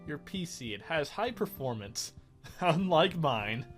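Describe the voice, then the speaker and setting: Rambling man with a higher-pitched voice. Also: very animated, slow pace, faint music behind. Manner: rambling, self-correcting. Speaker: host of a let's play. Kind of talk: casual game commentary.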